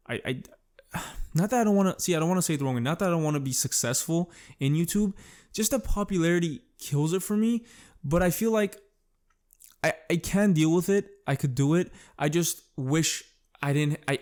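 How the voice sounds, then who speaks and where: A man's voice: on the high side, darkish tone. A young man hosting a solo podcast, rambling in a self-conscious, casual way and swearing often. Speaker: podcast host.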